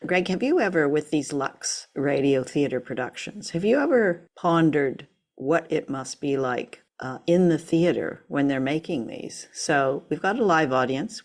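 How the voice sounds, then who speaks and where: A woman hosting a radio show and podcast in casual, engaged conversation, agreeable and inquisitive. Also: sits low. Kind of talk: casual chat about old radio drama.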